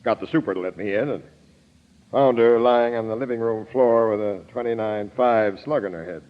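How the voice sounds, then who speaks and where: A dull old radio recording of an American man acting as a police captain. His voice is mid-pitched, brisk and confident, in classic radio crime drama manner. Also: lightly acted. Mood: matter-of-fact.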